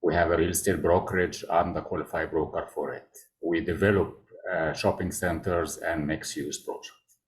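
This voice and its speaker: Very deep-voiced man from Egypt. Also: fairly even intonation, normal speed, slight background noise. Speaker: real estate instructor. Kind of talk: self-introduction on video call. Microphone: video call.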